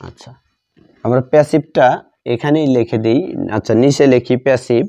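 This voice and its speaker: A man with a Bengali accent teaching English grammar, dictating as he writes and repeating each phrase deliberately, in a dull lo-fi recording. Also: mid-range voice, steady tone, warm tone. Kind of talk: grammar lesson, dictating while writing.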